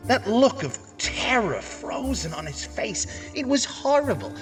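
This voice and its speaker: Actor as a lively, melodramatic theater manager. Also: male voice, extremely high pitch, measured pace, exaggerated voice, rough recording. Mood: shaken. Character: shaken, melodramatic old-time theater manager. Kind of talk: film noir radio drama. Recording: clear music behind.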